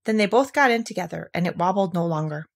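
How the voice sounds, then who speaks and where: A woman reading a children's story aloud as an expressive narrator. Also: fairly low pitch, fast, vocal fry.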